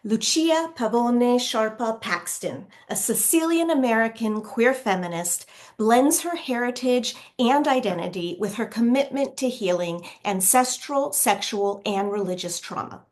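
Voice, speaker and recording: A woman academic host reading a formal scripted introduction of a presenter in a measured way. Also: fairly high pitch, animated, darkish tone.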